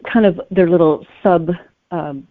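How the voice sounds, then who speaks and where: A woman's voice: fairly low pitch, unhurried, dry recording. A woman speaking as a guest author, giving conversational answers in an interview. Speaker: guest author discussing her book. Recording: narrow phone-like line, dry.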